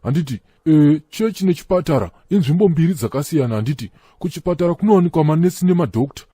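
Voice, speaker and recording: A man's voice: on the high side, lively intonation, fast, dark tone, breathy edge, no echo, studio quality. An actor voicing a Zimbabwean cartoon church elder who lectures his friend in an earnest tone. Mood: lecturing. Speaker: cartoon character, friend of Chikombera. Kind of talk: comic cartoon dialogue.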